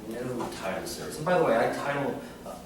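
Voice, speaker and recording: A middle-aged man giving an informal artist talk in a small gallery, chatty and animated, joking and restarting sentences. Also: mid-range voice, fairly quick. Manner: chatty and animated, gestures a lot, jokes and restarts. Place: small art gallery, indoors. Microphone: far camera. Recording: echoey room from far off, noisy background.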